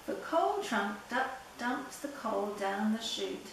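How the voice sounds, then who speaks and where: An older woman reading a children's picture book aloud in a deliberate, playful storytelling way. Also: medium pitch, very animated, creaky. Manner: simple, deliberate reading with playful sound words. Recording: very echoey room, distant mic, background noise.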